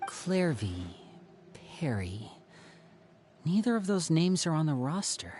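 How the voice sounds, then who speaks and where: Theatrical, lively young magician. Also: male voice, on the high side, slow, a little hiss or hum. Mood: probing, thoughtful. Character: theatrical young male magician, protective elder brother. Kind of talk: video game voice acting.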